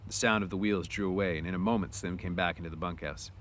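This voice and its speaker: A man's voice: sits low, fairly even intonation, quick pace, a bit bright, slight background noise. A man narrating a story aloud in an informal way, with casual asides.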